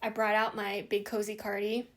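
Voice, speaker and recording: A woman's voice: medium pitch, little pitch movement, normal speed. A young woman from Hawaii hosting a knitting vlog, chatting casually and rambling with many fillers and restarts. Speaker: knitting podcast host.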